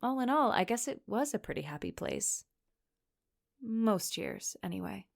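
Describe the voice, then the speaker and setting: A woman's voice: fairly high pitch, big pitch swings, moderate pace, creaky, exaggerated voice. An actress playing a sardonic, anxious young woman who narrates a confessional podcast drama in a conversational, sweary way. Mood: wry, nostalgic. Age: young adult. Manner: wry, sarcastic, sweary. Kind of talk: confessional scripted monologue, conversational.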